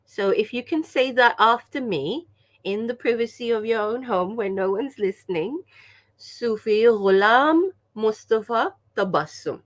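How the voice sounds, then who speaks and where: A woman's voice: fairly high pitch, expressive, a little slow. A woman from Pakistan leading an online language workshop over a video call, enthusiastic and chatty, often checking in with the group. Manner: enthusiastic, chatty, frequent "yeah?" check-ins. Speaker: workshop leader.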